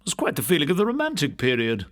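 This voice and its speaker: A man voicing a refined gentleman art lover in an audiobook ghost story, polite and appraising, with a cultured manner. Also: on the high side, wildly swooping pitch, fast talker. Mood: admiring.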